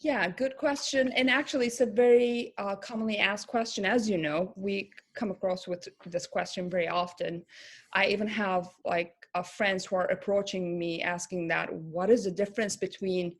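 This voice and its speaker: A woman from Turkey, a career coach and pharmacist, talking in a casual interview in a thoughtful, halting way with many fillers and restarts. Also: mid-range voice, steady tone.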